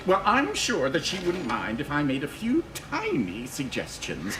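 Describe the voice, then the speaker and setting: A man's voice: fairly high pitch, huge pitch swings, unhurried, over music. An actor voicing a mischievous male chaos spirit in a cartoon, sly and mock-innocent with a sing-song delivery. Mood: smug, teasing. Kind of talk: cartoon voice acting.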